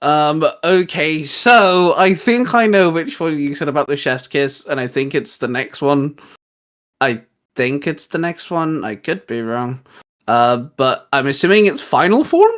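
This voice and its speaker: Rambling, slangy man, slightly high-pitched. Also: expressive, measured pace, fairly bright tone. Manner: hesitant, rambling, informal with slangy exclamations. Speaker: co-host of a geek culture show. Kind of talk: casual chat, reviewing video game designs.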